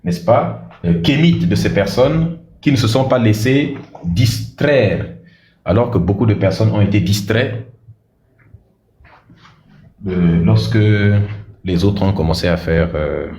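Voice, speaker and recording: A man's voice: fairly low pitch, lively intonation, slow, pauses often, a little mellow, roomy sound. A man hosting a livestream, talking bluntly and combatively, with frequent hesitations and repeated words. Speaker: livestream host. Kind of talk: livestream monologue and debate.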